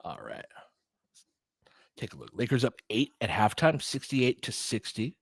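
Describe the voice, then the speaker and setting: A man doing live basketball play-by-play and analysis as a host, informal and openly partisan, excitable on big plays. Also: mid-range voice, a little slow, vocal fry, slightly rough, no room sound.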